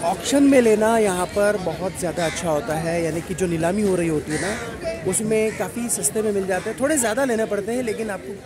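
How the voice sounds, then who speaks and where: A man's voice: high voice, brisk. Man vlogging in a busy fish market. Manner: chatty, asks vendors prices. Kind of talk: casual market vlog. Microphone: camera at arm's length. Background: crowd of market chatter.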